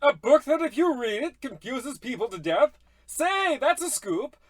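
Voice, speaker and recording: An actor playing a brash, illiterate tabloid newspaperman with excitable patter, in old radio comedy style. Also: very high-pitched, unhurried, dry recording, full character voice. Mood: eager.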